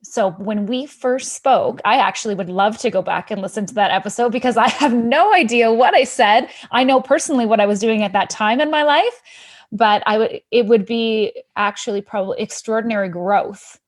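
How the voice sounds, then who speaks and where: A woman guest, an author and educator, chatting lively about her own story in a podcast interview. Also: a little high, normal speed. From Canada.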